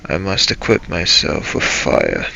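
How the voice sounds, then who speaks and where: Brooding vampire adventurer played by a man, game role-play narration. Solemn, dramatic, halting; gravelly, with sharp edge. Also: sits low, wildly swooping pitch, muffled recording, slight background noise, heavily acted. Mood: grave, measured. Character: brooding male vampire adventurer narrating his dark journey. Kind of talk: improvised in-character role-play narration over gameplay.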